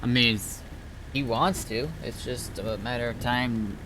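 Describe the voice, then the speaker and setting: A man's voice: mid-range voice, a little slow, background noise. A man in a casual online roleplay game chat, speaking briefly and indecisively.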